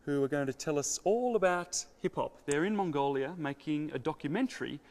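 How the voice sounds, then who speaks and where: A man's voice: fairly high pitch, expressive, a little fast, a little mellow, big-room sound. A man introducing guests as a show presenter in an upbeat way.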